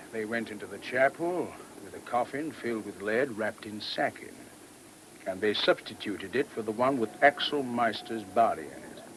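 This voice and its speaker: An actor as a wry major, noisy recording. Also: male voice, medium pitch, lightly acted. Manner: casual, wry, folksy phrasing. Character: worldly, unrepentant army major, cynical and easygoing. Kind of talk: radio play acting. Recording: noisy old radio drama recording.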